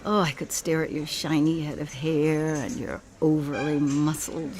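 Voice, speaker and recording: A woman's voice: deep voice, steady tone, moderate pace, a crowd in the background, close to an everyday voice. An actress playing an earnest, self-assured mother in her sixties, in a naturalistic audio comedy. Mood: cool, wistful. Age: 60s. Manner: effusively sincere, self-assured. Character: earnest, vibrant 67-year-old mother leaving her husband.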